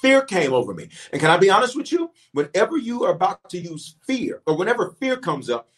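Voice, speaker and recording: A middle-aged man preaching with a voice pitched a bit high for a man. He swings from soft, conversational asides into sudden loud, emphatic bursts. He repeats lines for weight and talks straight to the people watching online. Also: wildly swooping pitch, a little fast. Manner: talks directly to online viewers, calling for waves and shout-outs. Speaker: pastor and bishop. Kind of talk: livestreamed sermon and prayer.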